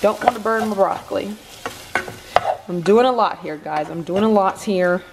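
A woman's voice: mid-range voice, moderate pace. Young woman vlogger, casual cooking chat to the camera, roomy kitchen with sizzling pans. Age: 20s. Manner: chatty, playful, talks to viewers while cooking. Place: home kitchen, indoors. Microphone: camera on the counter. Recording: echoey kitchen with frying noise.

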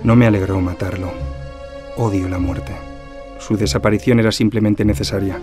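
A low male voice of an articulate, self-satisfied killer , acted by a man. He confesses in a measured, formal way in a crime audio drama, over clear music. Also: fairly even intonation, lightly acted. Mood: cold, proud. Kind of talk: audio fiction acting.

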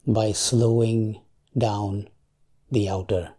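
A man leading a guided meditation in a calm, measured way, leaving long pauses between short phrases. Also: lowish voice, breathy edge.

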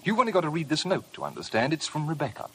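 An actor playing a smug, suave blackmailer with an insinuating, mock-polite manner in a 1950s radio drama. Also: male voice, on the high side, wildly swooping pitch, fast, bright, forceful tone, heavily acted. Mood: smug, needling. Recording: noisy old 1950 radio broadcast.

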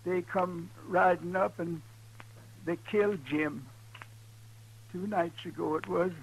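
An actor playing a frightened, simple-minded old ranch hand in a western radio drama, halting and timid. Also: male voice, sits high, fairly even intonation. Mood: dazed, fearful. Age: older. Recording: noisy 1950s radio recording with hum.

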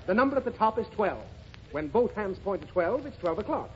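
A high-voiced, exasperated radio dad. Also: male voice, sing-song, a little fast. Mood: strained patience. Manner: fussy, exasperated explaining. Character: exasperated, easily flustered father of a little girl. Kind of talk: old-time radio comedy acting. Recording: muffled, very noisy old radio recording.

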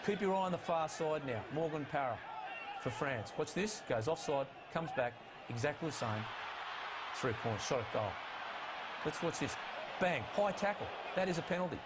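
A man analyzing rugby match footage in a studio, emphatic and pushing his pitch up to stress each call. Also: expressive, moderate pace, very noisy. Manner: emphatic, rises in pitch to stress key calls.

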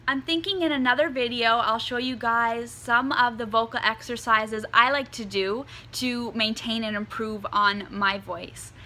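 A young woman in her twenties, a singer hosting her own vlog, giving earnest, chatty advice to camera with plenty of "um"s and a slightly croaky voice. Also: fairly high pitch, sharp tone. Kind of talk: casual advice talk to camera. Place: indoors in front of a dark curtain.

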